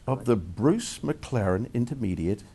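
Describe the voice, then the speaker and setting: Husky, lively-voiced male show host. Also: mid-range voice, unhurried. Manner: enthusiastic, leading questions. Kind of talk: casual interview chat.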